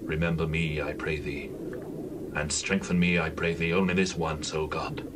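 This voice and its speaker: Male actor as blinded biblical strongman, deep and pleading, heard far off through loud noise. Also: little pitch movement, moderate pace. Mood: weary pleading. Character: Samson, blinded captive strongman praying for vengeance. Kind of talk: dramatized Bible reading. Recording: distant, very noisy recording.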